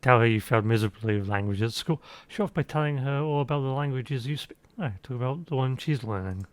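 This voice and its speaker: A man's voice: mid-range voice, quick pace, dry recording. A man reads the game's choices aloud and adds sarcastic, mocking remarks. His voice sits in a middle range. The sound is dry and close, with no room around it. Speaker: let's play commentator. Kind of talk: reading game choices aloud with sarcastic asides.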